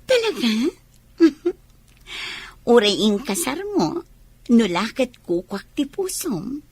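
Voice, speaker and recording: A woman's voice: on the high side, sing-song, slow, darkish tone, slightly rough, no room sound, full character voice. A woman playing a clingy, flirtatious and possessive mistress with a Filipino accent in an audio drama. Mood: flirtatious, wistful. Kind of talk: Ilocano audio drama acting.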